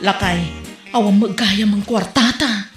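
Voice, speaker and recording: A woman's voice: mid-range voice, measured pace, roomy sound, faint music behind, natural voice. A woman playing a plain-spoken married village woman who frets about money in a comedy radio drama. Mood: fretful, complaining.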